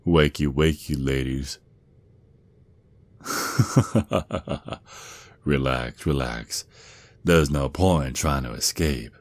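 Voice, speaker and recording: A man's voice: extremely low pitch, extremely slow, long pauses, heavily acted. A man playing a menacing, mocking, protective werewolf father with a Southern US accent, drawling and taunting in an ASMR roleplay. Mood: smug, taunting. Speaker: audio roleplay performer.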